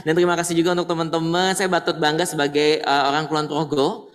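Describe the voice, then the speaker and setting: A man's voice: a little high, level tone, fast. A man hosting a talk event in a chatty, playful style, often repeating his phrases. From Jakarta, Indonesia. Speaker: talk show host. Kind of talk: casual event hosting to an audience. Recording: roomy hall echo, distant mic, noisy.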